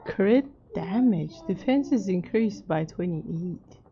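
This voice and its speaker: A woman's voice: mid-range voice, animated, slow pace, slightly rough, lo-fi sound. A woman reacting casually to a game as she plays, in short, offhand bursts of commentary. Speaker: game streamer.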